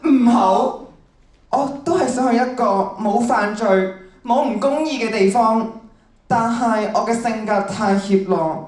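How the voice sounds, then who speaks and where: Echoey, timid teenage girl as a gentle demon. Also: on the high side, a little slow, far from the mic, close to an everyday voice. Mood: dejected. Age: teens. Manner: self-doubting, earnest, plaintive. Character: timid, gentle-hearted young demon who hates injustice. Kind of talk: stage radio drama acting. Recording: echoey hall, off-mic.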